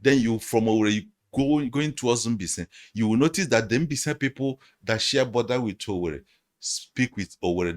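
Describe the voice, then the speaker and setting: A man speaks as a podcast guest, his voice sitting fairly low. He explains things emphatically, often repeating words and phrases as he builds his point. The talk is loose and conversational. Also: fairly bright tone. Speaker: guest analyst. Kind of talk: conversational interview.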